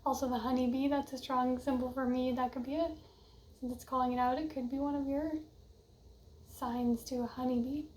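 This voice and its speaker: A young woman with a soft voice giving a casual oracle card reading, musing and drifting off mid-thought. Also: sits high, little pitch movement, a little fast, a little hiss or hum, rough recording. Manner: dreamy, musing, often trails off. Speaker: card reader.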